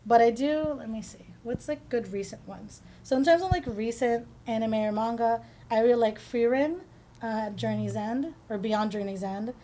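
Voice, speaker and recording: A woman's voice: on the high side, normal speed, dark tone, a little hiss or hum. A woman from the Philippines chatting informally as she answers questions as a guest on a casual podcast.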